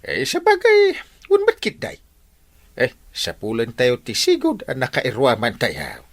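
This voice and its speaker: A man's voice: mid-range voice, wildly swooping pitch, unhurried, heavily acted. A whiny, groaning village captain in an Ilocano-accented Filipino radio comedy. His voice leaps wildly up and down as he exclaims and complains, often stumbling into hesitation. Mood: hesitant, grumbling. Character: comic village captain, whining and groaning over a bellyache.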